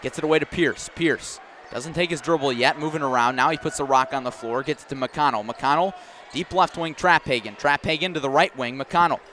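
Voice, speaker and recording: Male radio basketball announcer, fairly high voice, clipped running call, light game noise in background. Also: fairly quick, pushed and bright. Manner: clipped running description, rising on big plays. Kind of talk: live radio sports commentary. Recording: faint gym noise, bouncing balls. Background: basketball game in a gym.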